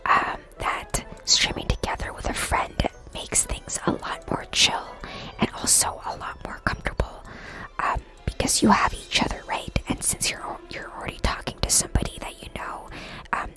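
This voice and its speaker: A woman's voice: high voice, fairly bright tone. A woman whispering breathily and chattily to viewers on a casual ASMR gaming live stream. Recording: music behind the whispering. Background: clear background music.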